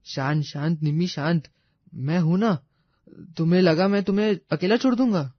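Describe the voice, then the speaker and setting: A man's voice: on the high side, moderate pace, dry recording, muffled recording, close to an everyday voice. A man playing an earnest, devoted young man in love in a story. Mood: reassuring.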